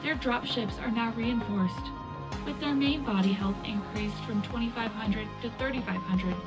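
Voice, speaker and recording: A woman playing a wartime radio news presenter, reading a scripted military bulletin in a measured, formal announcer delivery. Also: on the high side, natural voice, poor audio. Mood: composed, official. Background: loud music bed.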